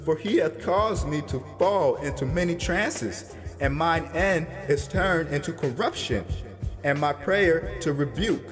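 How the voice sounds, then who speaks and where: A man's voice: fairly high pitch, animated, measured pace. Grieving biblical prophet, male performer, fearful and solemn, dramatized scripture with a music bed. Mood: awed, fearful. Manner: solemn, archaic phrasing, pleading. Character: grieving, fearful prophet recounting his troubled vision.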